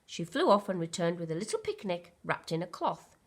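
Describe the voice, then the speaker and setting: A woman's voice: lowish voice, big pitch swings, fairly quick. A woman reading a children's storybook aloud expressively, like a primary school teacher.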